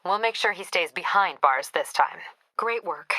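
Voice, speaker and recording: Forceful, no-nonsense police captain. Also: female voice, on the low side, animated, a little slow, no echo. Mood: brisk, dry. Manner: clipped, businesslike, dryly wry. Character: no-nonsense female police captain, brisk, dry, weary ally. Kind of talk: video game voice acting, radio dispatch briefings.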